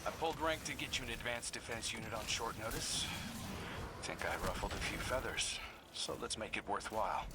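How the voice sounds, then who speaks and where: A man voicing a brisk military commander in a game, giving quick, clipped orders. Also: medium pitch, very noisy, close to an everyday voice. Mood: wry. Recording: distant voice under loud game music.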